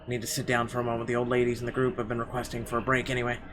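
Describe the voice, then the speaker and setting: A man's voice: mid-range voice, monotone, very fast. A man reading visual novel narration aloud as a let's player. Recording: game music behind the voice. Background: game music.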